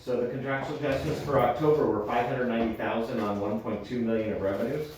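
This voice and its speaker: Male finance officer, explanatory, walking a board through figures. Reverberant room with clear background noise. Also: mid-range voice, fairly even intonation, fast, a little mellow. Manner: explanatory, checks understanding with okay. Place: indoor public board meeting room. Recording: echoey meeting room, distant mic, noisy.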